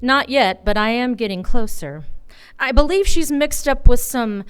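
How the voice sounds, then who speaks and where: A wry, tough female private eye played by a woman in a noir radio drama. The voice sits on the high side, halting and hard-boiled, with music underneath. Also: lively intonation, clean tone. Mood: determined. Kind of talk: noir radio play acting, first-person narration. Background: music behind the voice.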